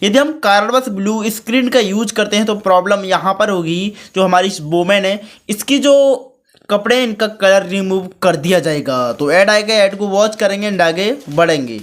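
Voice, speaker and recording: A man's voice: high-pitched, normal speed, a bit bright. Man hosting a chummy tutorial. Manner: chummy, addresses viewers as friends and brothers. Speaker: tutorial channel host. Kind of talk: screen-recorded tutorial commentary.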